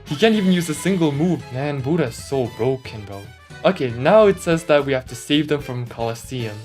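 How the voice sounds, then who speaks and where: A man's voice: medium pitch, expressive, normal speed, a little mellow. A man speaking through a voice changer, giving casual, slangy gameplay commentary with a mildly complaining manner. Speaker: gaming YouTuber. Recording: music mixed under the voice. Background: background music.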